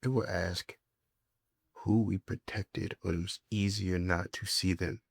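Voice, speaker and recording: Deep-voiced man delivering a reflective monologue. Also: fairly even intonation, vocal fry, breathy edge, no echo. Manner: measured, deliberate, reflective, with short weighted phrases. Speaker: monologue performer. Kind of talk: scripted spoken-word monologue.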